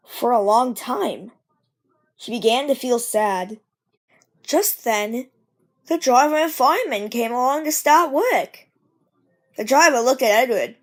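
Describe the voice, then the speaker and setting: A woman reading a children's storybook aloud in an expressive, measured storytelling manner. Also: on the high side. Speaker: storybook reader. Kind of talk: storybook narration read aloud.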